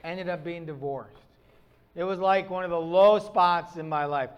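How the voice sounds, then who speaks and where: Middle-aged pastor, northern US accent, high tenor, wry self-mocking storyteller. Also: male voice, normal speed, clear, resonant tone, muffled recording. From Upper Midwest of the US. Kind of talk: sermon.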